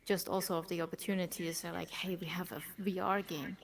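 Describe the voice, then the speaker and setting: A woman speaking English with a German accent, an esports operations manager chatting in an interview, often trailing off into soft near-whispers. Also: lowish voice, fairly even intonation, fairly quick, gravelly, a little hiss or hum. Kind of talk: casual interview chat.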